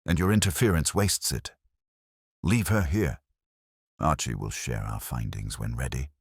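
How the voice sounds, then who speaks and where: Man playing commanding, disdainful detective for audiobook mystery: very low, formal, measured, dry sound. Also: slightly rough, no room sound, exaggerated voice. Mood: steely. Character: stern, commanding, brilliant male detective, weary and disdainful.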